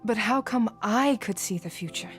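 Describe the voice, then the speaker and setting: A woman's voice: fairly high pitch, normal speed, soft background music, natural voice. An actress playing an earnest, questioning younger woman in a video game drama, speaking intently.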